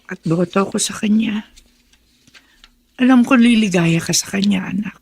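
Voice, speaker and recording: An actress playing a sickly, affectionate elderly mother in a Filipino radio drama, with a creaky, husky voice. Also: medium pitch, a little mellow. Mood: fond, advising. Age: older. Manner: slow, tender, motherly.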